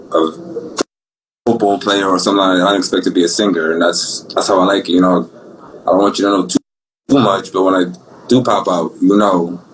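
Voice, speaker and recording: A young man with a Brooklyn accent, an R&B singer talking about his life in a relaxed, rambling, slangy way. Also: deep voice, slight background noise, rough recording. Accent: Brooklyn New York. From Brooklyn, New York. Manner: laid-back, rambling, slangy. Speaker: singer guest. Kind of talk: casual interview talk.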